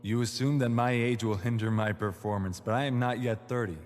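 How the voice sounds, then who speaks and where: A man playing a composed male evaluator in his twenties in an audio drama, speaking in formal, measured, polite sentences. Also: medium pitch, fairly even intonation, a little mellow, lightly acted. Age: 20s. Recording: long hall reverb, noisy hum.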